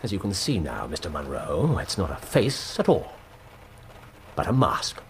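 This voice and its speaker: An actor playing a cool, commanding Victorian gentleman detective, precise and theatrical, in a period radio drama. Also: low-pitched, sing-song, measured pace, slight background noise, exaggerated voice. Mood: composed revelation.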